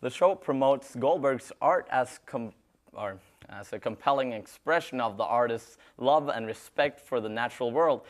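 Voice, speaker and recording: Young man, native Norwegian, college radio co-host, rambling, chatty. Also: medium pitch. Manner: halting, rambling with fillers in chat. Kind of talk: casual chat and read announcements.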